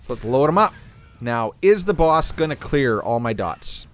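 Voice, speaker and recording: Guy narrating his mobile game run, relaxed, keeps clearing his throat, voice going a bit. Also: medium pitch, normal speed. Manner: relaxed, thinking aloud while playing. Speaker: gaming channel host. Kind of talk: casual gameplay commentary.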